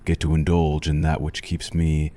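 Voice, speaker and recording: Male actor as vampire doctor for ASMR: extremely low, mellow voice, formal, possessive, softly menacing. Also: completely flat delivery, normal speed. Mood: hungry, coaxing. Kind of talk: ASMR roleplay, scripted monologue to the listener.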